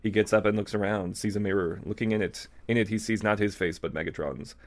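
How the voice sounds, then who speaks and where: A man's voice: on the low side, fairly even intonation, fast talker. A man reading fan fiction aloud as a co-host, with casual, amused, irreverent asides.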